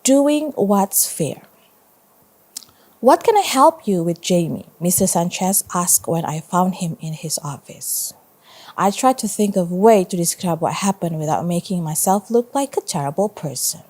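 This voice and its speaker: A woman with a soft, mellow voice reads aloud as a primary teacher would. Her delivery is expressive and informal, moving easily between storytelling and chatty explanation. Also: lowish voice, moderate pace. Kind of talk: read-aloud storytelling with casual asides.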